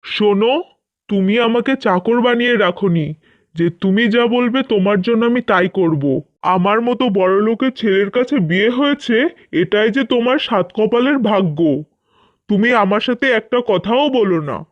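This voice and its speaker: A man's voice: sits high, moderate pace, dry recording, natural voice. Haughty rich husband, acted by a man, muffled and dry. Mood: contemptuous, threatening. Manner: contemptuous, threatening. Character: haughty, cruel young rich husband scolding his wife. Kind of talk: scripted cartoon dubbing.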